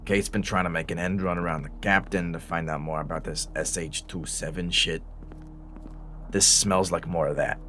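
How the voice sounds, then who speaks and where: An actor playing a middle-aged, world-weary veteran police detective in an audio drama, wry and profane. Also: male voice, low-pitched, steady tone, normal speed, a bit bright, slight background noise. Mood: jaded.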